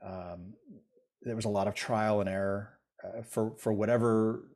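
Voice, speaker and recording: A man's voice: fairly low pitch, steady tone, measured pace, darkish tone. Chatty middle-aged man telling a story. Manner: rambling, self-deprecating storyteller with frequent restarts. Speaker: guest. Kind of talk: casual interview chat.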